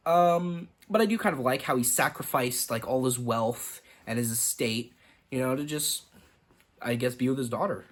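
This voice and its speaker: A man's voice: medium pitch, moderate pace. A young man giving a casual, rambling movie review, full of "like" and restarts. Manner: rambling, lots of fillers and restarts. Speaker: film reviewer.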